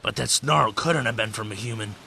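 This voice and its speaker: A man's voice: medium pitch, a little fast, vocal fry, bright, forceful tone, slight background noise. A man playing a stern, commanding American army captain in an amateur World War II radio drama, speaking in dry, clipped orders. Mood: grave.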